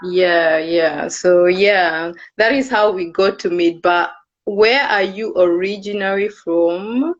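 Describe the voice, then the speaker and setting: A young woman from Kenya, hosting a casual live video chat, unhurried and hesitant with many fillers. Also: lowish voice. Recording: dull lo-fi livestream audio.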